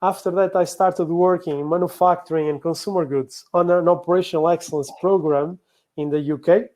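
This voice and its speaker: Portuguese guy doing a business talk, relaxed warm tone, bit on the high side, kinda halting as he explains. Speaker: conference speaker, operational excellence professional. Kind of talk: business presentation.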